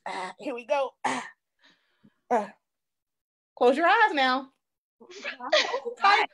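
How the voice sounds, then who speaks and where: A woman's voice: high-pitched, sing-song, very slow, vocal fry, a bit bright, exaggerated voice. A woman playing an earnest, bashful, smitten man who owns a bakery in improvised comedy. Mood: lovestruck.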